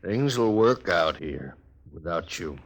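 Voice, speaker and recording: An American actor playing a lonely, resigned stage station keeper of few words in a 1950s Western radio drama. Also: male voice, low voice, lively intonation, slow, heavily acted. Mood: guarded. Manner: laconic, plainspoken. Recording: faintly noisy old radio recording.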